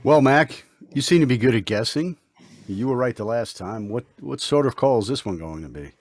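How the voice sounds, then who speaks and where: An actor playing a kindly, serene doctor in an old-time radio drama, speaking in an earnest, formal, uplifting way. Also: male voice, medium pitch, animated, normal speed, no echo, studio quality. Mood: gentle, hopeful. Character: kindly, serene, mysterious male hospital doctor.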